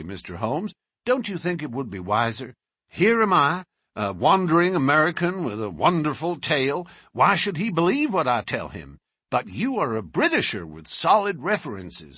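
A man's voice: on the high side, wildly swooping pitch, slightly rough, no room sound, studio quality, voice pushed up, heavily acted. A man voicing a brash American lawyer who pushes hard and talks in a hurry. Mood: pushy. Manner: forceful, hurried. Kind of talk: audiobook character reading.

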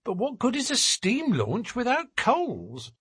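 A man's voice: very high-pitched, huge pitch swings, slow pace, a bit bright, breathy edge, no echo, clean studio sound, pitched above the performer's own voice, exaggerated voice. A man voicing a stout working-class wife in an audiobook, garrulous, anxious and scolding, with colloquial, deferential talk. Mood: worried. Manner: chatty and colloquial, deferential with frequent "sir". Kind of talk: audiobook character voice.

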